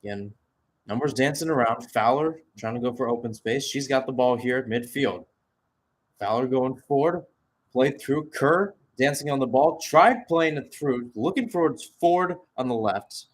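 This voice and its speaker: A man's voice: mid-range voice, moderate pace. A man giving live play-by-play commentary on a soccer match, conversational between calls with asides and statistics.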